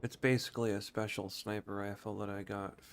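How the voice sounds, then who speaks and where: A man's voice: lowish voice, flat delivery, brisk, a little hiss or hum. A man giving casual video game commentary as a streamer, rambling and musing to himself.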